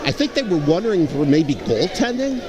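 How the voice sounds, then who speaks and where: A male color commentator with a slightly high voice analyzes a live basketball game. He explains what is happening and restates key points, while crowd noise carries clearly behind him. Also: sing-song, normal speed. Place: booth at an indoor basketball game. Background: clearly audible crowd.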